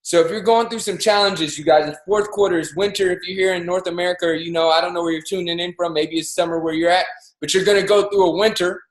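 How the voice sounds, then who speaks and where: A man in his thirties giving an energetic, preachy motivational talk as co-host of a livestreamed show, pushing his voice up on key points. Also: high voice, fast talker, echoey room, low-quality recording. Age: 30s. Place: home in Miami, livestreamed call. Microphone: video call.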